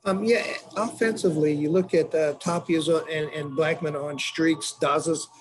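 A man's voice: a little high, fairly even intonation, moderate pace, poor audio. A man, a sports reporter, asking a press conference question. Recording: clear background noise and ambience.

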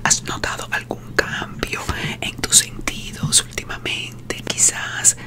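A middle-aged woman whispering close to the microphone in a hushed, soothing, theatrical ASMR roleplay as a playful vampire doctor. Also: takes it slowly. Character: playful vampire doctor giving a nerve exam. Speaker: ASMR performer. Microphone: close studio microphone on desk.

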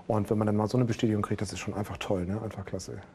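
A man's voice: lowish voice, steady tone, racing, dark tone. A male nurse with a Berlin accent, chatting and joking with patients at the bedside. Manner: chatty, joking, tags phrases with "ne?". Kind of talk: friendly bedside chat with patients. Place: hospital emergency department.

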